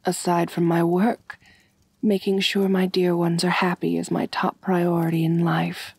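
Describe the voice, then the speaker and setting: A woman playing a refined, kind but possessive vampire mistress in a soft, intimate ASMR roleplay, gentle and doting, often near whispering. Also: lowish voice, little pitch movement, a little slow, dry recording, clean studio sound, exaggerated voice. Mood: composed, confiding. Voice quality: breathy.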